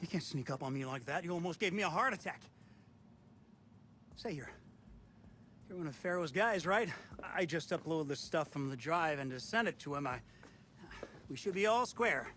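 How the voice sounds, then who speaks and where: A blustering older general in a video game, with a fairly high, sharp and forceful voice. He sounds self-righteous and defensive, over faint background noise. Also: animated, moderate pace, pauses often. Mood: nervous, startled. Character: defensive, self-righteous older male four-star general turned traitor. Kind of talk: video game cutscene acting.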